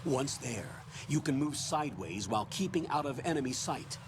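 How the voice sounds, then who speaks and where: A man playing a calm military support officer in a video game, giving measured tactical instructions. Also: medium pitch, creaky, noisy background. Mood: calm, instructive. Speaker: mission support adviser over radio. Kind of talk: scripted video game voice acting.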